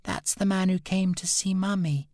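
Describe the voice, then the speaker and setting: A man's voice: high-pitched, steady tone, a little slow, a bit bright, dry recording, studio quality, voice pushed up. A man voicing a small frightened boy who speaks in a shaky, childlike, trusting way in a narrated story. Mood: shaky, tearful.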